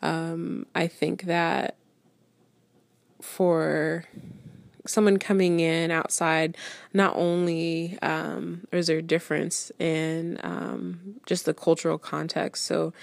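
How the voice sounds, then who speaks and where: A woman recalling her own experiences in an interview, in halting phrases with many pauses. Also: fairly low pitch, flat delivery, gravelly, dry recording. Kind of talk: reflective personal anecdote in interview.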